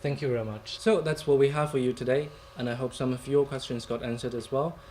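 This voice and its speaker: A man's voice: mid-range voice, steady tone, quick pace, a little mellow, slight background noise. A man hosting an interview, asking questions and closing the show. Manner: brief encouraging backchannels. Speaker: host.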